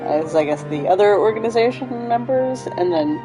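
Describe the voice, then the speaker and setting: A woman streaming a game live, explaining the story in a halting way as she thinks aloud. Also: medium pitch, expressive. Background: game music.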